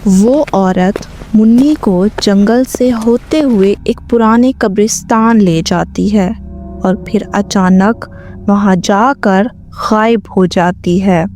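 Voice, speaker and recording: A woman reads a scripted story as narrator. Her pace is very unhurried and her pitch is mid-range. Music plays behind the voice throughout. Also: a little mellow.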